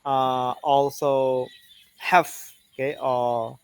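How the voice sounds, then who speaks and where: A man with a Khmer accent giving an online lecture, speaking haltingly with many "uh" and "okay" fillers. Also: mid-range voice, little pitch movement, clear, resonant tone, no room sound. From Cambodia.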